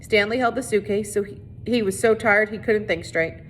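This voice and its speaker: A woman reading a children's novel aloud as narrator. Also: normal speed. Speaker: reader. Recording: noisy recording with low rumble.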